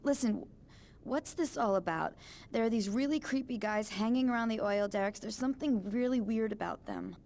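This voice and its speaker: A woman's voice: on the high side, moderate pace, vocal fry, darkish tone. An actress playing an ordinary, friendly but uneasy young woman in a video game, talking casually and a little hesitantly. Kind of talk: naturalistic video game dialogue.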